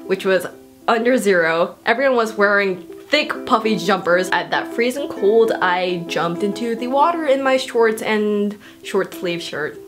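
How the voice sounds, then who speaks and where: Bubbly teen girl, casual vlog Q&A, mid pitch, music bed. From South Korea. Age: teenager. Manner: bubbly, self-mocking, quick asides. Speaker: YouTuber answering viewer questions. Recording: music playing under the voice. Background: background music.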